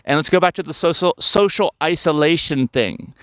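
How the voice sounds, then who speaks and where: A man's voice: a little high, expressive, normal speed, a bit bright, clean tone, no room sound. A man in his fifties talking casually to viewers outdoors in the woods, rambling and often turning to them with questions. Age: 50s. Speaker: health channel host. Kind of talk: casual talk to camera.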